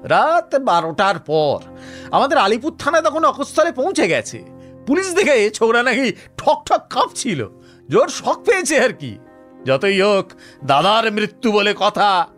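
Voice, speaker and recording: An actor playing a talkative, smug police officer in a Bengali audio story, speaking colloquially with scoffing rhetorical tags. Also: male voice, high voice, very animated, measured pace, fairly bright tone, faint music behind, exaggerated voice. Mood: matter-of-fact. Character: Anishchay, talkative cocksure male police officer, smug and sardonic.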